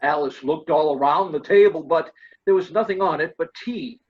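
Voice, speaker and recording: A man's voice: fairly high pitch, normal speed, a little mellow. A man reading scripted storybook narration as the narrator. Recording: dull lo-fi sound.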